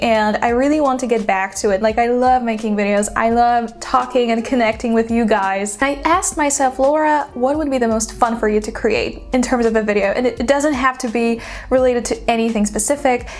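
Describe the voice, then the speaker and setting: A woman's voice: on the high side, fairly even intonation, normal speed. A woman in her twenties from Poland with a Polish accent, chatting casually to her viewers with frequent "like" fillers. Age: 20s. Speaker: YouTuber. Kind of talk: casual vlog chat.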